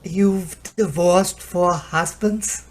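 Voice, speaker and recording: A man's voice: sits high, steady tone, drawn-out words, rough recording. A man playing a flustered, henpecked repairman who stammers nervously in an old-time radio comedy.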